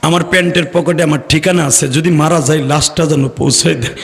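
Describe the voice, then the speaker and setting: A doomed young Bangladeshi man, as a male preacher acts him out pleads with his mother in a high, forceful voice. He is mournful and insistent, calling out in a sermon story with long reverb. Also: fairly even intonation, fairly quick, vocal fry. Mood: desperate pleading.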